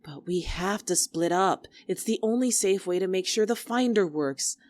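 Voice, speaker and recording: A woman's voice: mid-range voice, moderate pace, darkish tone, no echo, close to an everyday voice. A woman playing an earnest young woman in a dramatic argument, anxious but stubborn, pleading and defensive. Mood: hurt, pleading. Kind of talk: dramatic two-character argument performance.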